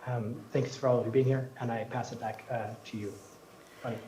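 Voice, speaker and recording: A man's voice: mid-range voice, fairly even intonation, brisk, mellow. A Canadian man giving earnest, hesitant opening remarks at a public event, with many fillers. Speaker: co-host of the event. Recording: echoey room with faint background noise.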